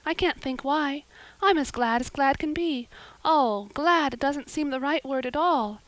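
A woman's voice: on the high side, sing-song, brisk, no room sound, pitched above the performer's own voice. A woman voicing an eager, dramatic orphan girl in an audiobook, speaking in an earnest, effusive way. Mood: tearful joy. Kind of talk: audiobook character voice.

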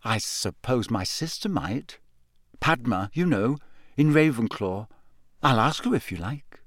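A man's voice: sits high, very animated, slow pace, no echo, clean studio sound, pitched above the performer's own voice, heavily acted. A man voicing a keen, girlish, gossipy teenage schoolgirl in an audiobook. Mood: hesitant. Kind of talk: audiobook character acting.

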